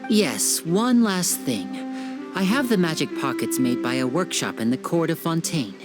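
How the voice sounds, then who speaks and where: Young stage magician, polite and smooth, lively lilt, game music behind. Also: mid-range voice, unhurried. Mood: gentle. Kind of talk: game cutscene dub. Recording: game score underneath.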